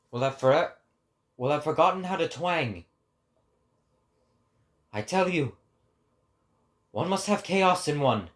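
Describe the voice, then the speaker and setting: A man voicing a lofty, sorrowful prophet who preaches a grave warning in a deliberate, declamatory dramatic reading. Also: fairly high pitch. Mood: solemn warning. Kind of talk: dramatic philosophical oration.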